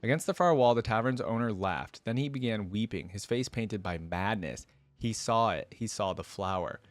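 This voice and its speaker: A man's voice: on the low side, animated, normal speed, no echo. A man reading a story aloud and breaking in with casual, enthusiastic commentary as a reaction video creator. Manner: casual, enthusiastic asides with frequent "like".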